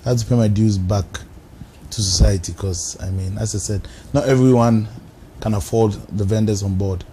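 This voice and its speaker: Male DJ guest, low warm voice, earnest, lots of restarts. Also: steady tone, moderate pace, a little hiss or hum. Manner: earnest, repeats words, restarts often. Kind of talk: casual interview talk. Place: TV studio.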